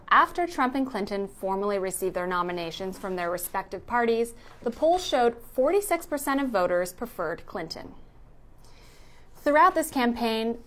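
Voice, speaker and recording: A woman's voice: fairly high pitch, fairly bright tone. A woman reading scripted narration for a news explainer.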